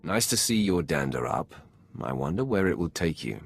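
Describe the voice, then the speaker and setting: An actor playing a commanding, sardonic dark lord in a video game, wry and imperious toward those beneath him. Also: male voice, low-pitched, sing-song, gravelly, husky, exaggerated voice. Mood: amused, imperious. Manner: wry, imperious, measured authority. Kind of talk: video game character acting.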